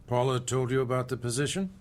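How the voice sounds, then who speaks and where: An actor playing a brusque, intimidating boss with a clipped manner in a radio drama. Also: male voice, mid-range voice, fast, slight background noise, lightly acted. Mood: intense, challenging. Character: brusque, hard-driving boss interviewing a job applicant. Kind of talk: dramatic radio-play acting.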